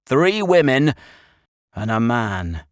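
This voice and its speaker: An old rural English shepherd, acted by a male reader, full of grave warning. His folksy country dialect is emphatic and swings widely in pitch. The recording is dry and close, like a storybook told at the listener's side. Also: lively intonation, a little slow, no echo.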